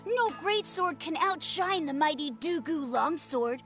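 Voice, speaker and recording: A woman voicing a cocky, excitable little boy who fancies himself a mighty warrior, boastful and dramatic, in a video game. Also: high voice, unhurried, soft background music.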